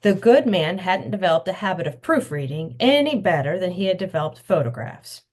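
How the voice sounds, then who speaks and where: A woman's voice: fairly low pitch, brisk. A woman author reading her children's novel aloud, telling the story expressively. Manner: expressive storytelling, acting out the dialogue.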